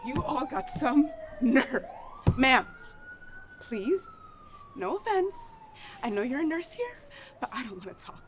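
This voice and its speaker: Woman acting a bitter, wounded grown child, bright-voiced. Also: on the high side, big pitch swings, slight background noise, voice pushed up. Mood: indignant, pleading. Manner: sarcastic, wounded, halting between outbursts. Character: bitter, overwhelmed adult child at absent father's hospital deathbed. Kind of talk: emotional stage monologue.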